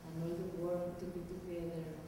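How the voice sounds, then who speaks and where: A woman with a Mexican Spanish accent speaking careful, halting English as a panelist, unscripted. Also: on the low side, little pitch movement. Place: large reverberant room at a live panel. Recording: echoey hall, distant mic, noisy.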